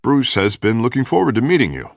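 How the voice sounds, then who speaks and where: An actor playing an earnest, ambitious politician in a video game, with a smooth, placating, polished manner. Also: male voice, medium pitch, wildly swooping pitch, fast talker, no room sound, clean studio sound, heavily acted. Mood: eager, placating.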